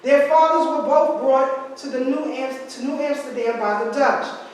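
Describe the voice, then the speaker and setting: Woman lecturer, high register, conversational asides; long hall reverb, distant camera mic. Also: fairly even intonation, unhurried, darkish tone. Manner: asides and rhetorical questions to audience. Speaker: history speaker. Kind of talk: lecture reading from slides. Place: large room with hard walls. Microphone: far camera. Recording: echoey hall from far off.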